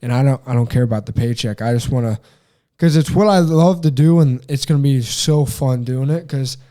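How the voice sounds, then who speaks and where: Mellow young man musing casually. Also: mid-range voice, brisk. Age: young adult. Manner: earnest, rambling, heavy use of "like" and "dude". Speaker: podcast co-host. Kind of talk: casual chat.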